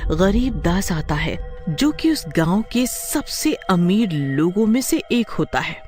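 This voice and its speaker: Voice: mid-range voice, sing-song, slow, darkish tone. A storyteller giving a dramatic scripted narration of a horror tale. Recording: faint music behind the voice. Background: faint music.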